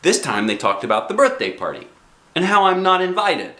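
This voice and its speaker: Animated man reading a storybook aloud. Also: high voice, a little fast, roomy sound. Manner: expressive, dramatic phrasing. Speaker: dad reading children's books aloud.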